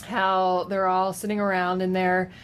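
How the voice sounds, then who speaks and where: A woman chatting casually and unscripted as a guest giving a film review, with a hesitant, halting delivery. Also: on the low side, monotone, clean tone. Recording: noticeable background noise.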